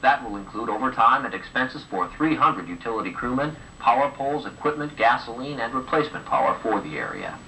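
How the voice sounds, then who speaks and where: Guy doing tv news narration, super bright punchy voice, old tape recording w some hiss. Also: medium pitch, big pitch swings, fairly quick, hardly any pauses. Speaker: news presenter. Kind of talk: scripted broadcast news narration. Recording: old home videotape of TV news, distant, hissy.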